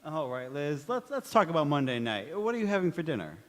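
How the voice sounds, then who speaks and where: Man playing boyish sitcom husband, highish animated voice, sheepish, 1940s radio comedy, echoey hall. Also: fairly quick. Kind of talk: 1940s radio comedy acting, staged revival. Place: community theatre stage in a church. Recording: echoey hall, long reverb.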